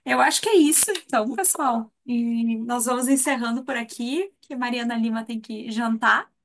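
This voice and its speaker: A Brazilian woman teacher hosting a live online class, playful and expressive, acting out little quotes as she explains. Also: a little high, normal speed. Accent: Brazilian Portuguese. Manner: warm-hearted, playful, acts out quotes. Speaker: course teacher and host.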